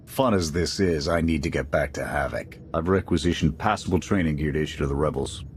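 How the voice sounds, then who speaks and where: An actor playing a gruff, pragmatic military commander in a video game, blunt and dry in his delivery. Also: a bass voice, brisk, slight background noise. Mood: determined.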